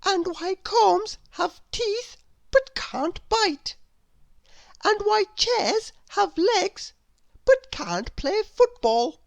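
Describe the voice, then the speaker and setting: A woman's voice: very high voice, expressive, very slow, vocal fry, pitched above the performer's own voice, full character voice. A woman voicing an endlessly curious little girl storybook character, asking in a wondering sing-song way.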